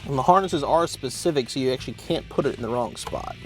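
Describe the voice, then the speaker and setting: A man's voice: mid-range voice, quick pace, soft background music. A man hosting an instructional car installation demonstration, explaining step by step in plain-spoken language. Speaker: host of car installation how-to videos.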